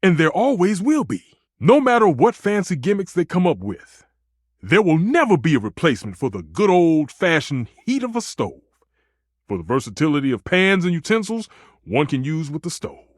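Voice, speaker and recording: A man's voice: fairly high pitch, sing-song, normal speed, dry recording, clean studio sound. A man voicing a passionate, proud kitchen stove in a video game, a dramatic food purist who speaks emphatically and with grand flourishes. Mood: impassioned. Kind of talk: theatrical video game character dialogue.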